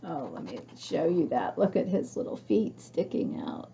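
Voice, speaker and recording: A woman's voice: on the low side, big pitch swings, moderate pace, mellow, dull audio, a little hiss or hum. A woman reading a picture book aloud to young children, expressively, with chatty asides and questions for her listeners. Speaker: storybook reader for young children.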